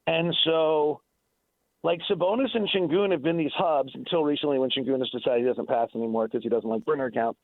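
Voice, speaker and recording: Over a narrow, phone-like line with little room sound, a man talks sports as a radio analyst. His voice sits a bit high for a man. He argues emphatically and unscripted, doubling back and restarting phrases as he goes. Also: fairly quick, no echo. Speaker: sports analyst and radio play-by-play announcer interviewed on a show.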